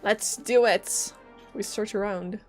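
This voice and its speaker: A woman streams a game with casual, playful commentary. She talks at an unhurried pace in a medium-pitched voice, with faint music behind her. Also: fairly even intonation, a little mellow. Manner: informal, playful, reactive. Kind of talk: casual live gameplay commentary.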